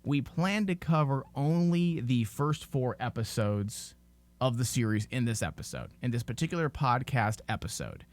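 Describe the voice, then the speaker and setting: A man's voice: mid-range voice, lively intonation, normal speed, no echo. A man hosting a podcast, talking informally and giving his opinions in a casual discussion. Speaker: podcast host.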